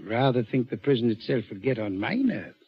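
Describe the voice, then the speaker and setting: An actor playing a dry, probing gentleman who narrates and questions in a measured 1940s radio style. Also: medium pitch, expressive, breathy edge. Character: wry, probing British gentleman narrator, a prison visitor. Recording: dull old 1940s radio recording.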